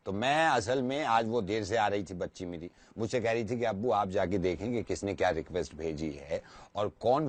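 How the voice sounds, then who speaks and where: A man's voice: lowish voice, noisy background, close to an everyday voice. A man playing a short-tempered army colonel father, formal and courteous, in a radio prank call.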